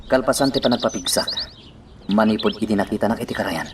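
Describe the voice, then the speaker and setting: A man's voice: lowish voice, quick pace, close to an everyday voice. A man with an Ilocano accent playing an earnest young man on a secret mission in a radio drama. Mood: earnest, troubled. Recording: distant mic, noisy, birdsong behind.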